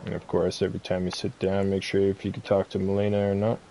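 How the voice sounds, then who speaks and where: A man giving casual gameplay commentary as a streamer, laid-back and halting, often repeating himself. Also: deep voice, monotone, dark tone, slight background noise. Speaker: game streamer giving a walkthrough.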